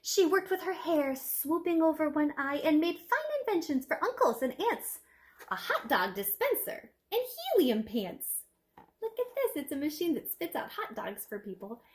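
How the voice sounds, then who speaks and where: Animated high-voiced woman reading a storybook. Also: moderate pace. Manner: animated, emphatic, with dramatic hushed moments. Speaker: teacher reading aloud to children. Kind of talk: expressive picture-book storytelling.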